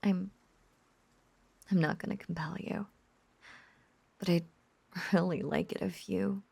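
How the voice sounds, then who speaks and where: Woman playing a breathy, creaky, lovesick witch. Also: on the low side, steady tone, a little mellow, no room sound, exaggerated voice. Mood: needy, coaxing. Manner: breathy half-whispered cooing, lingering pauses. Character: lovesick obsessive young witch, possessive, teasing and doting. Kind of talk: intimate roleplay audio drama.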